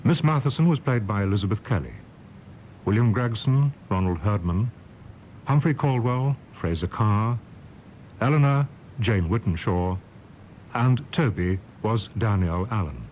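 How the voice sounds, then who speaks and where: A man's voice: lowish voice, expressive, a little slow, darkish tone, a little hiss or hum, rough recording. A man reading scripted credits as a radio announcer.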